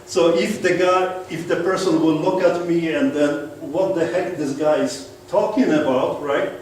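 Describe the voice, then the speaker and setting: A man's voice: fairly high pitch, steady tone, unhurried, darkish tone, a little hiss or hum. A man from Poland with a strong Polish accent giving a seminar talk, keeps checking that the audience agrees with him. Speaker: guest speaker. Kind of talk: seminar presentation. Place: meeting room. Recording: echoey room from far off.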